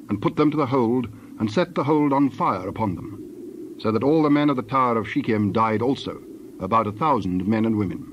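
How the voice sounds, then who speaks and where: A man narrates a dramatized scripture reading. His mid-pitched voice is solemn and measured. The recording is slightly dull, with faint noise behind him.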